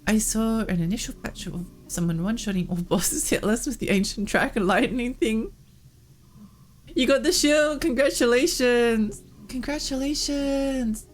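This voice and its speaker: A woman with a fairly high voice chats casually as she streams a video game, with music playing behind her. Her delivery is expressive and exclamatory, and her voice sounds a little worn, close to cracking. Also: a little slow. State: tired, cracking voice from losing it.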